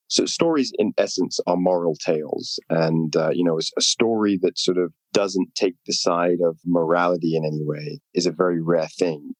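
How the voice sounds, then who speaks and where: A reflective man with a deep, low voice talks through his ideas in a relaxed interview. He qualifies his thoughts constantly as he goes. The sound is close and dry, with no room around it. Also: dry recording. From United Kingdom. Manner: reflective, hedges often with "sort of". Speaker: film writer-director, guest. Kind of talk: casual interview conversation.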